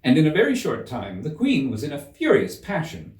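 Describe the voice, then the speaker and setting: A man giving an expressive scripted narration of a children's classic as an audiobook reader. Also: a little high, fairly quick, recorded from a distance. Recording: roomy echo, slightly off the mic.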